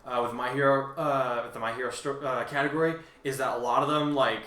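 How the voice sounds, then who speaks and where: Bright-voiced man, roomy distant mic. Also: medium pitch, fairly even intonation, fast talker. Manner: defensive, emphatic, rambling explanations. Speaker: fan fiction author and co-host. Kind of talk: casual conversational commentary on listener reviews. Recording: roomy, echoey home recording, distant mic.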